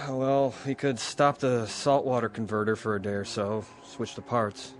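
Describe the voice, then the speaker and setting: A man performs a tired, dutiful space-colony technician in a radio drama. He sounds resigned and deferential, in a medium-pitched voice with faint music behind it. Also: level tone, unhurried, close to an everyday voice. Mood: resigned, worried. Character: weary, dutiful male colony technician reporting to his captain.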